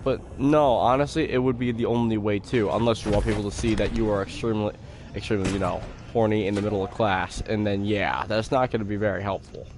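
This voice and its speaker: A teenage boy chatting calmly with friends while streaming a game, talking slowly with many fillers and restarts. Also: fairly low pitch, background noise. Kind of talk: casual chat while gaming on a live stream.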